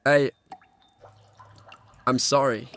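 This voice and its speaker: A man's voice: medium pitch, fairly even intonation, drawn-out words, fairly bright tone. A man playing a frightened, naive young man in a horror audio drama, hesitant and anxious. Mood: apologetic. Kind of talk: horror audio drama acting with first-person narration.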